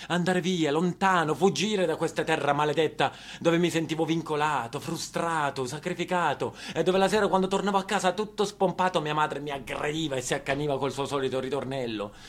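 A man from Apulia with a southern Italian accent, delivering a theatrical monologue in an emphatic, histrionic manner, repeating words for effect. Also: on the high side. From Apulia, southern Italy. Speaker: stage actor and monologist.

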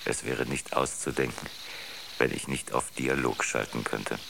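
An actor playing a coolly rational, superior ship's computer in a radio drama, speaking in a measured, pedantic, matter-of-fact way. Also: male voice, a bass voice, fairly even intonation, creaky, background noise, heavily acted. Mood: dryly superior.

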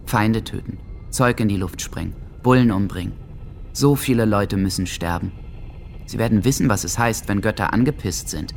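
Radio drama: troubled teenage diarist, deep and gravelly, introspective delivery. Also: male voice, normal speed, slight background noise. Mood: menacing. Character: lovesick, suicidal teenage boy reading his diary. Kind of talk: radio drama monologue, intimate diary reading.

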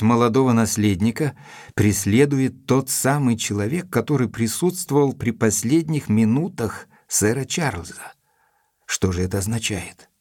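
An actor playing a thoughtful gentleman investigator, narrating a mystery in a measured, deliberate literary style. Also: medium pitch, breathy edge, no room sound, studio quality. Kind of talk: dramatized first-person literary narration.

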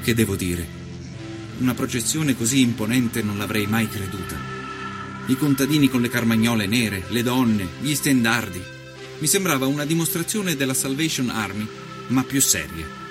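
A man narrating a scripted first-person story in a measured, literary and dramatic manner. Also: mid-range voice, lively intonation. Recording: music under the voice. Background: musical soundtrack.

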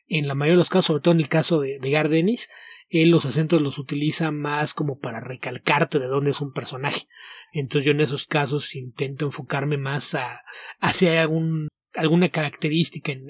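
A man, co-hosting a podcast and explaining his translation work in a steady way, restarting his words often. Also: fairly high pitch, slightly rough, no echo. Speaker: podcast co-host, comic translator. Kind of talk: casual podcast chat.

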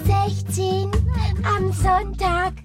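A woman's voice: very high-pitched, little pitch movement, drawn-out words, full character voice. A woman playing a naive, wilful teenage noble damsel in a satirical radio play, affected and gushing. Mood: smitten, defiant. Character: naive, romantic, wilful sixteen-year-old noble damsel. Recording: loud music under the voice.

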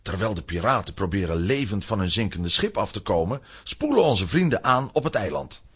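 A man with a fairly low, rough and husky voice narrates a children's adventure. His scripted delivery is dramatic, sweeping widely up and down. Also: big pitch swings, a little fast, fairly bright tone. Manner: dramatic storytelling.

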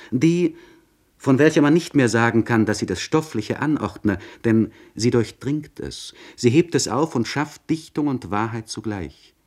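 An actor playing a troubled, introspective young aristocratic poet, speaking formally and eloquently in a literary radio monologue. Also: male voice, on the low side, darkish tone. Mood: wistful, fervent. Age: young adult. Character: troubled, introspective 26-year-old aristocratic English poet writing a letter. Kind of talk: literary radio monologue, letter read aloud.

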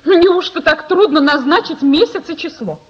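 An actress playing a brisk, practical wife and mother in a radio drama, keeping the peace. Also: high-pitched, close to an everyday voice. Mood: exasperated. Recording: dull, muffled radio drama recording.

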